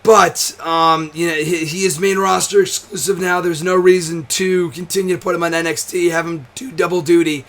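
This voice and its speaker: A hoarse, tired young man hosting a wrestling show, chatting casually to camera with a nearly gone voice, rambling, swearing and restarting often. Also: fairly high pitch, level tone, moderate pace, few pauses, a bit bright. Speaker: wrestling commentary host. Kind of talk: casual to-camera vlog chat.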